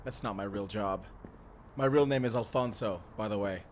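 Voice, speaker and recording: An actor playing a brave, guarded vampire slayer in an audio roleplay, terse and defiant. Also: male voice, fairly low pitch, moderate pace, a little hiss or hum, lightly acted. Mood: hurried, explaining. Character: brave, gruff mysterious Spanish male vampire slayer. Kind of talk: cinematic audio roleplay acting.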